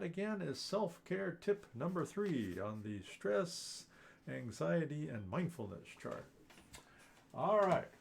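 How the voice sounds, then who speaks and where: A man's voice: fairly high pitch, gravelly. A man hosting a live ukulele class, talking in a friendly, teacherly way with frequent chuckles and fillers. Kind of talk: live online class talk.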